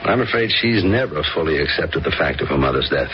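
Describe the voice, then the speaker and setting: An actor playing a gentle, worried middle-aged father and widower in a radio drama, speaking mildly. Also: a bass voice, expressive, fairly quick. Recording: dull old radio recording with faint hiss.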